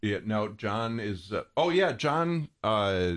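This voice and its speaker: A man hosting a religious radio show, easygoing and quick to laugh. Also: fairly low pitch, big pitch swings, fairly bright tone, no echo, studio quality. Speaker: radio host. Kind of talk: casual radio chat. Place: radio studio.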